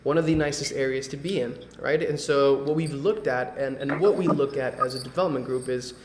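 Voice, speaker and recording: A man's voice: mid-range voice, fairly even intonation, fairly quick, echoey room, noisy background. A man from Medellín, Colombia, hosting a real estate webinar over a video call in a loose, conversational way, with many fillers and tag questions. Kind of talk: casual presentation on a video call.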